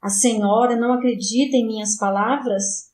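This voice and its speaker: A woman voicing a stern older male cardinal who coaxes and threatens, in a measured, solemn audiobook reading. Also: fairly high pitch, steady tone, a little mellow, a little hiss or hum, close to an everyday voice. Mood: probing. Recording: roomy echo.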